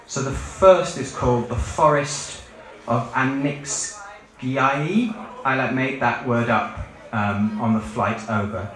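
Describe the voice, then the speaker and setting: A man's voice: mid-range voice, slow pace, fairly bright tone. A man from the UK with a British accent, a performance poet speaking in a wry, offhand way to a live audience. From United Kingdom. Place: book fair event room. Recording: echoey live event hall.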